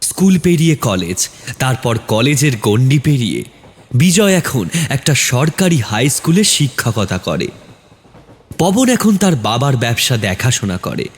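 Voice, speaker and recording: A man's voice: medium pitch, sing-song, unhurried. Reverberant male horror story narrator. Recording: long reverb on the voice.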